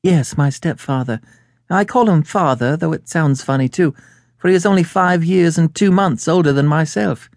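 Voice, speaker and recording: Man putting on a high-pitched, excitable young Englishwoman. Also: normal speed, dry recording, clean studio sound, close to an everyday voice. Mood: chatty. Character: nervous, indignant, chatty good-humoured young Englishwoman client.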